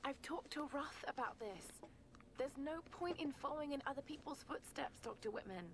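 A young woman archaeologist speaks with earnest determination. Her voice sits fairly high, in natural game cutscene style, over faint background noise. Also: lively intonation, moderate pace, fairly bright tone. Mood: insistent.

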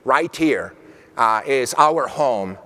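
A middle-aged man with a Swiss German accent, giving an animated keynote talk on a conference stage with a lapel mic. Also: medium pitch, takes it slowly. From Switzerland. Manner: animated, gesturing while speaking on stage. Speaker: keynote speaker. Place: large conference hall stage. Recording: long hall reverb.

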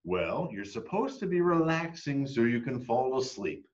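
A man voicing a patient, weary father chicken who scolds gently in a storybook. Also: medium pitch, very animated, normal speed, muffled recording, close to an everyday voice. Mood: weary, scolding.

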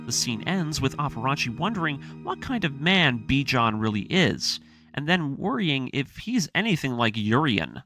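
Animated male narrator over music. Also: medium pitch, normal speed. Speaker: narrator of an anime story breakdown series. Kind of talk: scripted narration and commentary. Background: background music.

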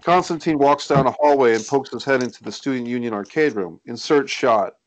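Man reading screenplay directions, mid-pitched. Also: fairly quick, rough recording. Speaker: stage directions reader at table read. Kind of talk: scripted narration of screenplay directions. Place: indoors, group video call. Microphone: computer or headset on video call.

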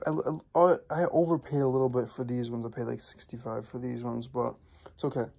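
A man's voice: medium pitch, level tone, fairly quick, vocal fry, mellow, slightly rough. A young man talking casually to his viewers, rambling with lots of fillers and restarts. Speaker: vlogger. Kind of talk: casual vlog chat.

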